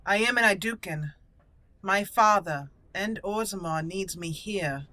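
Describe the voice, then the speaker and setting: A woman voicing a proud, haughty dwarven noble warrior in a game roleplay, formal and deliberate. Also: mid-range voice, bright, forceful tone.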